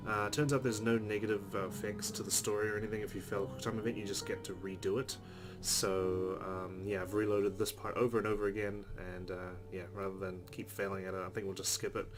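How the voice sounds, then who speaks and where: Man, low voice, game playthrough host, reads story text aloud, casual commentary, clear music behind. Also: level tone, quick pace. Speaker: let's play host.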